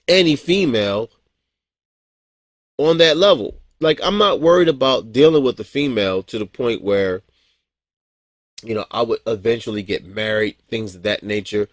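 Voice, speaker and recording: A man's voice: mid-range voice, lively intonation, moderate pace, pauses often, no echo, studio quality. A man in his forties giving a casual, rambling monologue as a vlogger, blunt and profane, with frequent chuckles and restarts. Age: 40s.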